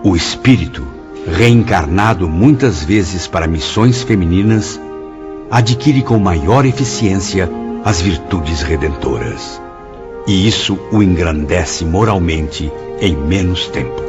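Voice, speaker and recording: Solemn Brazilian radio drama narrator, deep, expressive swings, music behind. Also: male voice, takes it slowly. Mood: solemn, reverent. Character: solemn, reverent male narrator of a spiritist tale. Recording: slightly dull audio under clear music.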